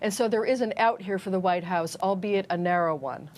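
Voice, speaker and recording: A woman giving conversational news analysis as a correspondent, measured and thinking aloud as she weighs her points. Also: medium pitch, a little mellow. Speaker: political correspondent, panel guest.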